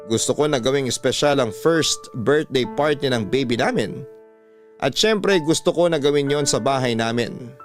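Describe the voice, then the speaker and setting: A man reading a listener's letter aloud in the first person on a radio story show, earnest and confiding. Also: medium pitch. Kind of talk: scripted first-person narration.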